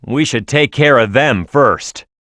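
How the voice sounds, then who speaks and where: A man's voice: medium pitch, takes it slowly, fairly bright tone, dry recording, studio quality. A man voicing a tough, hot-headed echidna brawler in a video game, confident and brash, with punchy, emphatic delivery. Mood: instructive, firm. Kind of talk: video game voice acting, tutorial hints and battle shouts.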